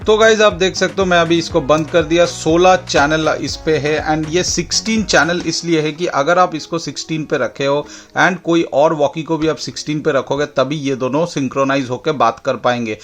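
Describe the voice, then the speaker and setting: A man's voice: fairly high pitch, steady tone, normal speed, hardly any pauses, fairly bright tone. A man with an Indian accent hosting a casual product demonstration, explaining step by step and repeating points for clarity. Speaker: product demonstrator and channel host. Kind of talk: casual product demo mixing Hindi and English. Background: background music.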